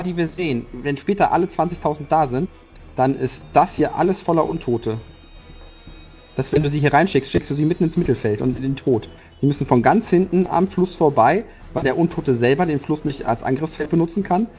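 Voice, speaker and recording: A man's voice: fairly high pitch, a little fast, mellow, faint music behind. A man playing in a tabletop game, laying out battle tactics to the others. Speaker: player. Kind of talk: tabletop strategy discussion.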